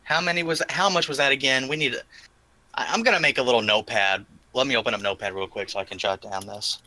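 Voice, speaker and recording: Very bright-voiced male tabletop gamer. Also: mid-range voice, fast. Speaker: tabletop game player. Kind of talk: casual game-table chat.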